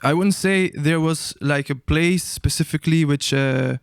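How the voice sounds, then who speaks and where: Smooth-voiced young man, hesitant and searching. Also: a little high, measured pace, dry recording, studio quality. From Albania. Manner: hesitant, searching for words, many restarts. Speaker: guest musician. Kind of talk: casual interview answers.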